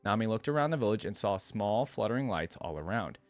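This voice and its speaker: A man's voice: on the low side, lively intonation, fairly quick, dry recording. A man hosting a reaction video, casually thinking aloud and reading a story as he goes. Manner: talks to himself, thinking aloud with casual slang.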